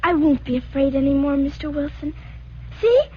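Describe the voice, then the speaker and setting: A woman's voice: high-pitched, moderate pace, a little hiss or hum, lightly acted. A woman playing an earnest little immigrant girl. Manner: earnest, slightly formal speech. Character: grateful little immigrant girl, timid but brave. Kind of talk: 1940s radio acting. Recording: dull old radio broadcast.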